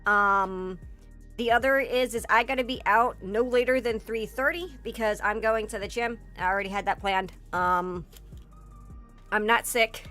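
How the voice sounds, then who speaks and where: A hoarse woman streamer in a casual livestream chat, rambling with lots of fillers and swearing. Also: fairly high pitch, measured pace, pushed and bright. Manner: rambling, filler-heavy and profane, breaks into laughter. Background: faint background music.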